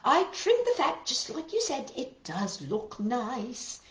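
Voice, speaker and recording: Woman voicing a high, cheerful, naive storybook housemaid. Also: wildly swooping pitch, slow, exaggerated voice. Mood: naive pride. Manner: exclamatory, guileless. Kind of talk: children's storybook character voice. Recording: roomy echo.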